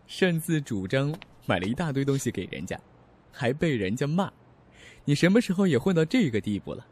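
An actor playing an amused, needling male friend in an audio drama, with a husky voice. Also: mid-range voice, sing-song, moderate pace, pauses often, a little hiss or hum, close to an everyday voice. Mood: teasing. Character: teasing male friend of a businessman. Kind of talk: audio drama acting in Mandarin.

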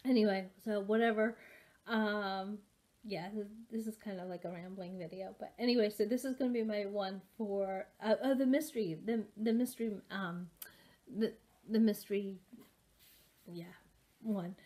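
A woman's voice: mid-range voice, moderate pace, mellow. Rambling woman vlogging at her desk. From United States. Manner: rambling, many fillers and restarts. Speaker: booktuber. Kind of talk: casual rambling book vlog. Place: home study with bookshelves, indoors. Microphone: webcam at desk.

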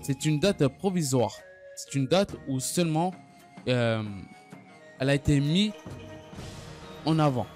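A man giving an unscripted gaming news recap, speaking haltingly and often stumbling or repeating his phrases. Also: a little high, lively intonation, darkish tone. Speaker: gaming video creator. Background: background music.

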